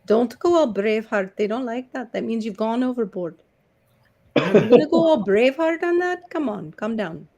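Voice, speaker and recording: A woman hosts a loose, joking conversation, her voice sitting a little high. She teases her guests and rides each joke along playfully. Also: lively intonation, normal speed, warm tone. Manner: playful, teasing banter. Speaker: host. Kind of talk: casual chat.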